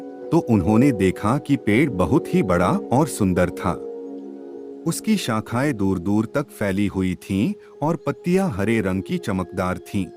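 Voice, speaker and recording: A man narrating a scripted children's story in a measured, expressive storybook manner. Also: on the low side.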